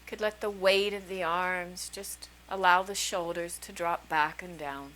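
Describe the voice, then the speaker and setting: A woman leading a guided meditation as a teacher, speaking soothingly in short, unhurried phrases with long pauses between them. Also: on the low side, steady tone, fairly bright tone, slight background noise, poor audio. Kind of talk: guided meditation, slow calming instruction.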